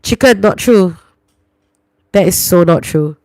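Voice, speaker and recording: A woman's voice: lowish voice, measured pace, a little mellow, no echo, studio quality. A young woman with a Singaporean English accent, chatting casually on a livestream and reacting to viewer comments. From New Zealand and Singapore. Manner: chatty, reacts aloud to comments, sprinkles lah and lor. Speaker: livestream host.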